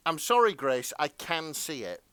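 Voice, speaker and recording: Animated man chatting, lively swings in pitch. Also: slow, clear, resonant tone, no echo, clean studio sound. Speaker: podcast co-host. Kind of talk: casual chat.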